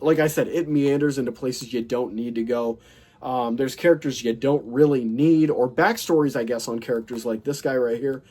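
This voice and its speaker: A man's voice: mid-range voice, darkish tone. A man with a stuffy, nasal voice from a cold, hosting a casual movie collection chat, rambling and enthusiastic with lots of fillers and restarts. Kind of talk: casual chat showing a film haul.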